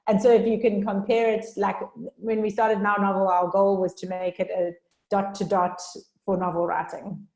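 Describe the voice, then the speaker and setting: A woman with a South African accent giving a chatty workshop talk on a video call, talking fast and informally. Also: mid-range voice, little pitch movement, a little mellow. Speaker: workshop speaker. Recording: roomy echo.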